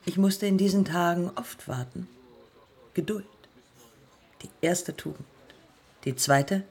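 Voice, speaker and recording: An actress playing a proud, determined woman of early aviation in a radio play, speaking husky and creaky in terse, deliberate phrases. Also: lowish voice, a little mellow, full character voice. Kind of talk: radio play monologue and dialogue.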